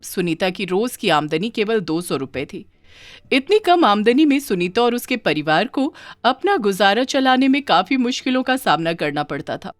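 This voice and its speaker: A woman's voice: mid-range voice, big pitch swings, moderate pace, dry recording, faint music behind. A woman reading a scripted children's moral story as its narrator.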